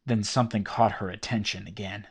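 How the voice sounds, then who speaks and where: A man narrating a horror story in a steady, scripted, documentary-like way. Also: fairly low pitch, brisk, slightly rough. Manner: steady documentary-style telling.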